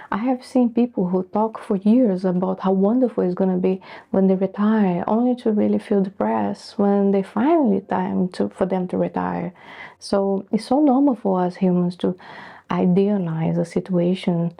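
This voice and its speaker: A middle-aged woman from Brazil whose first language is Portuguese, speaking thoughtfully to camera in a soft, breathy way with pauses. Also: on the low side, highs cut off. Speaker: vlogger. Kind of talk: reflective personal talk to camera.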